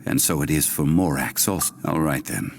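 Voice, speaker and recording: An actor playing a calm, learned ancient man in a video game, telling history in measured, formal, grave diction. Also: very deep voice, creaky, a little hiss or hum. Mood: reflective, calm. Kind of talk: dramatic video game dialogue. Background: background music.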